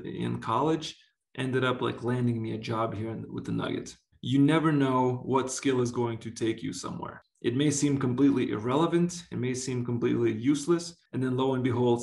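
A man's voice: mid-range voice, gravelly. A man from Lithuania giving casual, earnest interview answers as a guest, with a conversational and emphatic way of talking. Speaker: interview guest, basketball executive. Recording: roomy, echoey sound.

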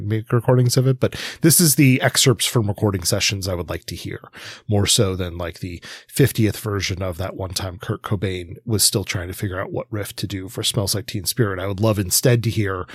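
Low-voiced man, reflective, very dry sound. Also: breathy edge, no room sound. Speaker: podcast co-host. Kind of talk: conversational music review discussion.

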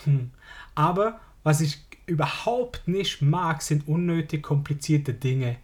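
A man with a Swiss German accent hosts his own podcast, his voice hoarse and a little strained. He talks alone in a loose, informal way, as if speaking to one listener. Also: on the high side, slow. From Switzerland. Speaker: podcast host. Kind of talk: casual solo podcast monologue.

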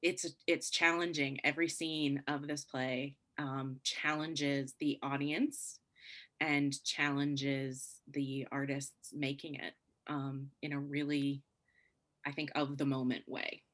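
A woman working in theatre answers interview questions reflectively, in a deliberate, halting way with pauses mid-phrase. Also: rumbling low, level tone, a bit bright. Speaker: theatre artist being interviewed.

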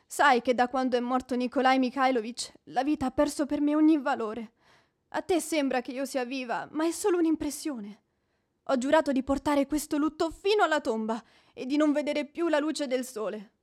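Woman playing young widow in radio drama, high voice, super melodramatic, mournful and proud. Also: normal speed, a little mellow, lightly acted. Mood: solemn, wounded. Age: young adult. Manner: declamatory, mournful. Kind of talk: theatrical radio drama acting.

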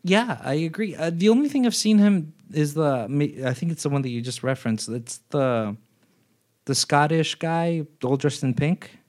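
A man's voice: on the high side, lively intonation, moderate pace, darkish tone. A man co-hosting a podcast, chatting casually and rambling with lots of fillers and restarts. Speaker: podcast co-host. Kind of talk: casual chat.

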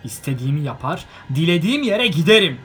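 A man voicing an elderly male hobbit who is possessive and touchy, with shrill outbursts and covetous whispers. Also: expressive, brisk, a little mellow, soft background music, close to an everyday voice. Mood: defiant.

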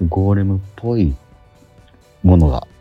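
A middle-aged man chatting casually as a radio host, animated but sometimes halting and reflective. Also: low voice, dull audio, faint music behind. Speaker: radio host and theatre actor. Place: theatre auditorium during rehearsal.

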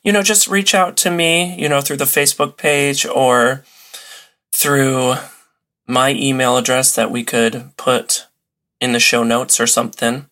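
Male podcast guest, conversational and amused, medium-pitched voice for casual interview chat. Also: steady tone, unhurried, bright, forceful tone. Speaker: podcast guest, old-time radio enthusiast.

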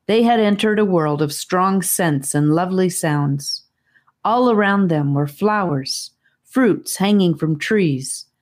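A woman with a low-pitched voice narrates a children's audiobook. She reads scripted fantasy adventure prose as a storyteller. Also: a little mellow.

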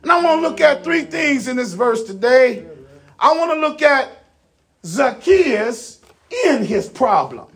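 A man preaching an animated sermon, speaking emphatically with rhetorical repetition and direct appeals to his listeners. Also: very high-pitched, unhurried.

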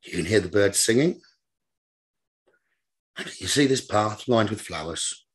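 A man leading a guided meditation as a teacher, giving gentle, repetitive instructions in a slow manner. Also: on the low side, animated, husky.

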